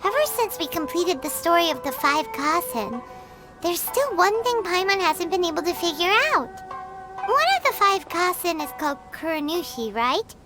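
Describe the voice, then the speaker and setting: A tiny excitable game companion with a squeaky childlike voice, who refers to herself in the third person. Her pitch soars very high and leaps around. She speaks slowly over loud music. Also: exaggerated voice. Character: tiny floating female companion, excitable and chatty. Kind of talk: game cutscene dialogue.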